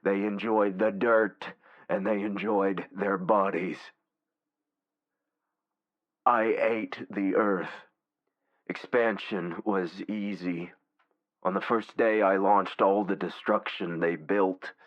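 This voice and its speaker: A man playing a godlike war supercomputer in a dramatic villain monologue, brooding, deliberate and grandiose, full of contempt for humanity. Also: deep voice, fairly even intonation, lo-fi sound. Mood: cold contempt.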